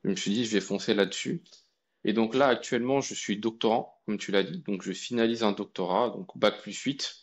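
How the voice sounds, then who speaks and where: A young man from India, a guest telling his story in a relaxed, colloquial way with many fillers and restarts. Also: lowish voice. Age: young adult. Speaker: guest, AI researcher. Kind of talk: casual conversation.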